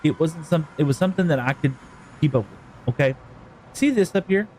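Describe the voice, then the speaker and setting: A man's voice: on the high side, lively intonation, fairly quick, a little mellow, a little hiss or hum. A man with a Texan accent chatting to his viewers on a live gaming stream, rambling and joking as he plays. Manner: rambling, jokey, talks to chat.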